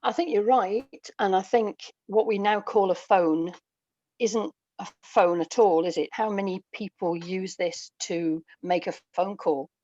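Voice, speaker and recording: A woman's voice: mid-range voice, measured pace, warm tone, no room sound. British woman on a podcast call, bit muffled n dry, chatty, reflective, lots of tag questions. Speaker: podcast guest, writer. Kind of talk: casual conversational anecdote. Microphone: internet call.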